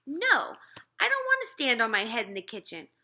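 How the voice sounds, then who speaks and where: A woman's voice: on the high side, huge pitch swings, normal speed, sharp tone, slight background noise, voice pushed up, full character voice. A woman voicing an exasperated fourth-grade boy in a storybook, protesting emphatically.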